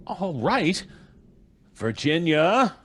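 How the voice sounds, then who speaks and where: A man's voice: a little high, big pitch swings, very slow, fairly bright tone, exaggerated voice. A middle-aged man playing a flustered, bossy American father, stammering and blustery, in old-time radio drama style. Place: small home studio. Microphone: close studio microphone.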